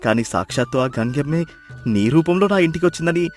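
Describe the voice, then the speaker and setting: A man's voice: fairly high pitch, lively intonation, brisk, soft background music, natural voice. A man playing a poor, devoted fisherman husband in a moral story, speaking earnestly and with dramatic emphasis. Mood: tender, awed. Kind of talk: acted moral-story dialogue.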